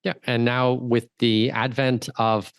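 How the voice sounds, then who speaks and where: A male podcast host with a medium-pitched voice talks in a relaxed conversational interview style. The recording is dry and close, with little echo. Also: slow, gravelly, clean tone. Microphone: close mic on a remote video call.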